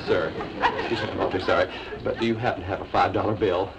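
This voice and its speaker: A man's voice: deep voice, fairly even intonation, fast talker, vocal fry. A young man playing an earnest, flustered traveler showing off card tricks in a 1940s film. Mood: eager. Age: young adult. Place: studio set of a train club car. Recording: hissy old film soundtrack.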